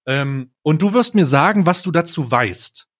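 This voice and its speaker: A man's voice: on the high side, lively intonation, normal speed. A man hosting a casual comedic podcast, talking in an informal, jokey, slangy way. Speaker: podcast host.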